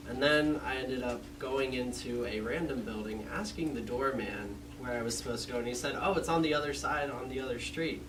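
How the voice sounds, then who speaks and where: A man on a student internship panel, telling an anecdote in a relaxed way and trailing off quietly. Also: mid-range voice, fairly quick, few pauses. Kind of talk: storytelling on a panel. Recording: distant mic with steady hum.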